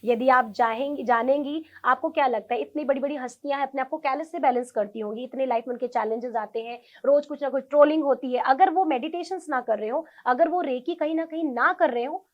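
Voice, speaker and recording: A woman with a high-pitched voice gives explanations as an interview guest. She is earnest and instructive, dropping English words into her speech. The sound is somewhat dull and lo-fi. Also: brisk, few pauses. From India. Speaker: interview guest, tarot reader and Reiki practitioner; government school teacher.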